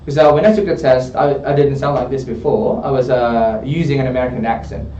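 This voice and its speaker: A man's voice: mid-range voice, fairly even intonation, a little fast, dark tone, a little hiss or hum. A man working as an English tutor, coaching a student in a studio and often checking in with "okay?". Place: studio room. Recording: roomy, distant, dull studio sound.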